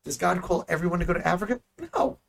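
Husky-voiced man teaching the Bible. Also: high voice, fast. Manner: animated, asks rhetorical questions, acts out dialogue. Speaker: minister.

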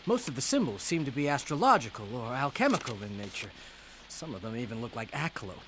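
A man's voice: medium pitch, fairly bright tone, slight background noise, rough recording. An actor in a radio drama playing a chatty, eager scholarly man with a hesitant, fussy way of talking.

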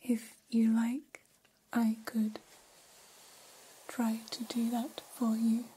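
A curious, gentle female alien played by a woman murmurs in a soft, breathy whisper for ASMR. The pace is extremely slow and the tone stays even and mellow. Phrasing is formal and careful, as if studying humans kindly. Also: fairly high pitch, a little hiss or hum, poor audio. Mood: tender, soothing. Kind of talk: ASMR personal attention roleplay.

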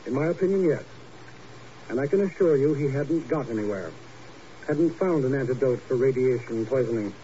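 An actor playing a brisk, self-assured, dismissive scientist doctor in a 1950s radio drama, with clipped and pompous formal delivery. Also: male voice, mid-range voice, expressive, mellow, natural voice. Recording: muffled, faintly noisy 1950s radio.

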